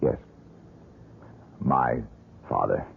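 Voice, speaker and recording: An actor playing a gloomy, bitter, haunted painter in a 1970s radio drama, with a brooding, self-deprecating, halting manner. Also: male voice, rumbling low, expressive, vocal fry, breathy edge, narrow, tinny audio, exaggerated voice. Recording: thin, band-limited 1970s radio broadcast, music behind.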